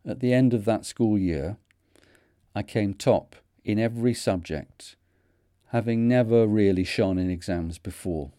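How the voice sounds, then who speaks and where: Podcast host in his fifties, Manchester accent, low mellow voice, dry close sound, earnest reading. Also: dry recording. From North of England. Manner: measured, earnest storytelling. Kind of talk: scripted reflective narration.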